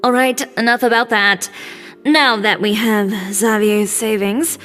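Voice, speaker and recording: Bossy no-nonsense young shop boss in a game, brisk, highish voice, music behind. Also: female voice, steady tone, fairly bright tone, big-room sound. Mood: impatient, commanding. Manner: direct, take-charge, no-nonsense. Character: brisk, blunt, confident young businesswoman. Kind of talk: video game voice acting.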